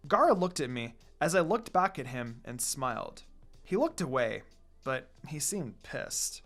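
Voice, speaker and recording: An expressive reader and channel host narrating anime fan fiction in a casual, storytelling style. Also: mid-range voice, unhurried. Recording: background music.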